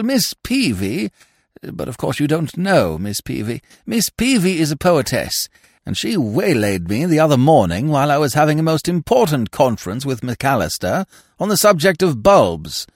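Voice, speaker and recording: A man's voice: a little high, very animated, moderate pace, no echo, clean studio sound, voice pushed up. A man voicing a fussy, absent-minded old lord in an audiobook, with a stammering, blurting way of talking. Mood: bewildered, indignant.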